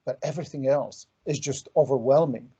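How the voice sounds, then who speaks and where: A man's voice: medium pitch, a little fast, dark tone, slightly rough, dull audio. A man from Romania hosting an interview over a video call, talking reflectively with many ums. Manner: reflective, with frequent ums and hedges. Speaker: interview host. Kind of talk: conversational interviewing.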